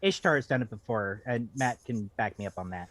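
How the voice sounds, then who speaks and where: Lively wisecracking man, close dry sound. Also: fairly low pitch, a little fast, dry recording. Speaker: co-host or panel member. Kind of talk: casual joking banter.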